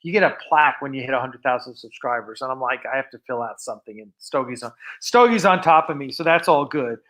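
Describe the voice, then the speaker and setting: A man's voice: medium pitch, expressive, fast, a bit bright. A man hosting a casual livestream, chatting in a rambling, informal way. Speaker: host of a fan production livestream. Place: film set of a starship bridge.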